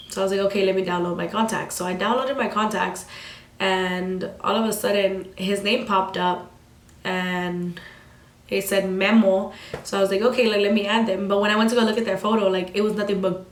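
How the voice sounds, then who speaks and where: A woman in her twenties, a little sick with a slightly raspy voice, telling a story in casual vlog chat full of "like" fillers and swearing. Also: medium pitch. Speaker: vlogger. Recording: echoey room.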